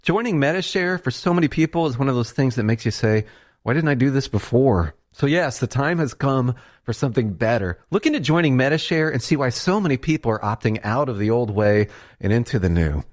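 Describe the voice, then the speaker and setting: A man's voice: mid-range voice, expressive, fairly quick. A male announcer reading a scripted radio advertisement in a conversational, persuasive style.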